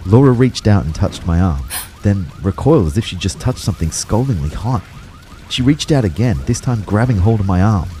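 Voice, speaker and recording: Man acting dazed young male narrator, lowish mellow voice, dramatic audio fiction, expressive. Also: fairly quick, a little hiss or hum, natural voice. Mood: tense, reflective. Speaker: first-person narrator.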